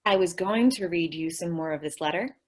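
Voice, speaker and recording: A woman's voice: fairly low pitch, brisk, lightly acted. A woman playing a sweet, dim-witted young secretary in old-time radio comedy style, earnest, eager and naive. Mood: eager, pleading.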